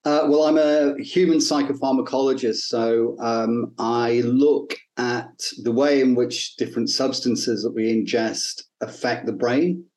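A man's voice: mid-range voice, steady tone, darkish tone. A middle-aged man with an English accent giving thoughtful, hesitant answers as an academic interview guest. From north and Midlands of England, UK. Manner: hesitant, thoughtful, measured explaining. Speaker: guest, neuroscience professor.

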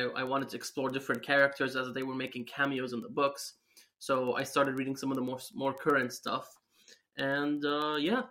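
A man's voice: mid-range voice, pushed and bright. A man in his twenties from Syria, a guest talking eagerly with a light laugh about how he got into making comics. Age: 20s. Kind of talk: casual interview chat.

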